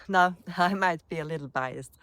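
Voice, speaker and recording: A woman with an Austrian accent and a slightly low voice introduces her work as a wedding celebrant. Her delivery is scripted but personal, with reflective, measured phrasing. The recording is dry, with very little room sound. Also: fairly bright tone, no room sound. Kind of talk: promotional self-introduction, scripted narration to camera.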